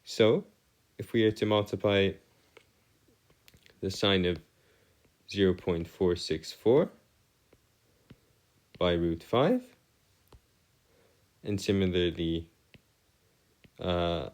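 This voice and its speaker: A man giving an explanatory maths lesson as a tutor, with formal, wordy phrasing and halting, hesitant delivery. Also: deep voice, lively intonation, darkish tone. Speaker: math tutor. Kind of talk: explanatory maths lesson over written working.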